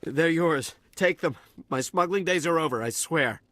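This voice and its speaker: A man's voice: fairly high pitch, moderate pace, a bit bright, dry recording, natural voice. A man playing a shaken, cowardly dockworker and smuggler in a video game, stammering nervously and defensively. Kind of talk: video game cutscene acting.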